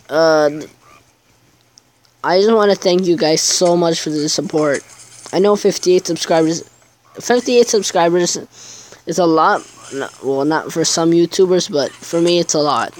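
Teenage boy, gaming vlog commentary, hesitant, unhurried delivery, slightly high voice. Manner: rambling, hesitant, many fillers. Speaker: gaming YouTuber. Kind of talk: casual gameplay commentary.

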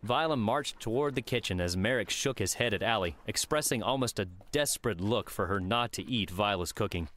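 Low-pitched male audiobook narrator. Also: expressive, brisk, hardly any pauses, fairly bright tone. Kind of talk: scripted story narration.

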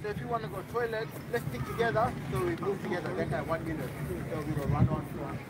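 Man calling out to a team from a distance, high voice, loud outdoor noise. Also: fairly even intonation, fast. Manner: calling out instructions to a group.

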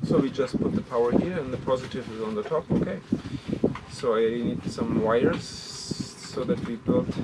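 A man's voice: medium pitch, lively intonation, normal speed. A man in his fifties talking casually as he troubleshoots a repair in a boat cockpit. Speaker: neighbouring sailor helping with a repair. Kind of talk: casual hands-on troubleshooting talk. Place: covered sailboat cockpit. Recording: loud background noise, clicks of handling parts.

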